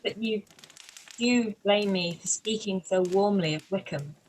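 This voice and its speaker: A woman playing a witty, sharp-minded young woman with a dry, wry delivery in a live-read radio play. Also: mid-range voice, unhurried, slight background noise, close to an everyday voice, rough recording. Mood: earnest.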